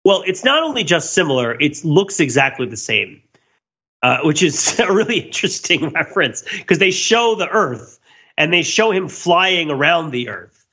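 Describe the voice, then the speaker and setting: A man's voice: on the high side, big pitch swings, moderate pace, pushed and bright, husky. A man co-hosting a podcast, explaining things in a wordy, analytical way in casual pop-culture discussion. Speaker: podcast host.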